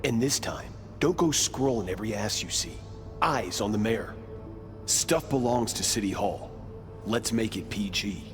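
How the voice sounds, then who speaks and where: A man's voice: on the low side, expressive, a little slow, over music. A man playing a bossy character in a video game, giving instructions in a dry manner. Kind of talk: cinematic video game acting.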